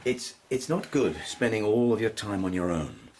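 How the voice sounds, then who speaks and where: A man acting in an audio drama as a stern, concerned schoolmaster, measured and probing as he questions a pupil. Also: on the low side, expressive, darkish tone, slight background noise. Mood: concerned, urging.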